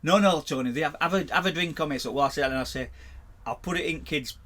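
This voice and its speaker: A man co-hosting a relaxed podcast chat, his voice sitting a little high for a man. He talks bluntly and stumbles over repeated words as he starts his points. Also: fast. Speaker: podcast co-host, tattooist. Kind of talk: casual chat.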